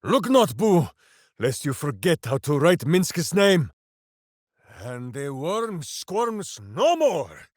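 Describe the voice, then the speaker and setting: A big, simple-hearted video game warrior with total heroic earnestness. His delivery is unhurried and theatrical, with very lively swings of pitch. The sound is dry and close, and every phrase is grandiose. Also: no room sound, heavily acted. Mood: booming, battle-ready. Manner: grandiose, earnest, speaks of himself in third person. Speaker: video game companion character.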